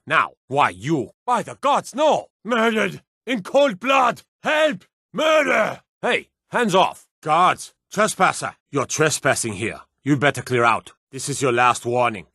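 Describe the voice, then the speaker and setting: A gruff male fantasy game guard, blunt and theatrical. The voice sits high for a man and swings widely in pitch. It has a forceful, hard edge suited to a threatening soldier. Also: big pitch swings, unhurried, few pauses, no room sound, studio quality, exaggerated voice. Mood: stern, indignant, then panicked, pleading. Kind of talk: video game combat barks and warnings.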